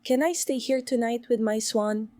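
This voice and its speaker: A cunning storybook vixen, acted out by a woman, pitched high and dripping with false sweetness. She is greedy and theatrical, pleading her case in a dry, close recording. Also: moderate pace, mellow, no room sound, natural voice. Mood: sweet pleading. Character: sly, greedy female fox, sweetly pleading and wailing.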